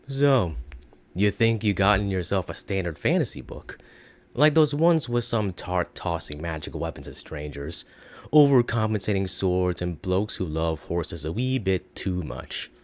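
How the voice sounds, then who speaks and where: A man voicing a sardonic, crude male storybook narrator, speaking in a snarky monotone with falling intonation. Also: sits low, unhurried.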